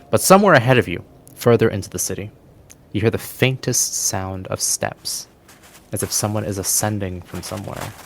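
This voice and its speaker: A man acting as game master, narrating a tabletop fantasy game in a descriptive, deliberate storytelling manner. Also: low-pitched, slight background noise.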